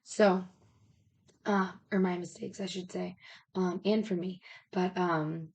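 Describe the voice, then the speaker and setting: A woman's voice, a little low and raspy, as if she is losing it. She speaks as a health and fitness coach in casual talk. Her delivery is informal and rambling, explaining step by step with frequent check-ins. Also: steady tone, takes it slowly, darkish tone.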